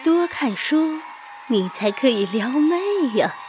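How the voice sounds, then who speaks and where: A woman's voice: high voice, expressive, slow. A woman hosting a scripted radio show, mixing monologue with playful, teasing big-sister banter. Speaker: online radio host. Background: music.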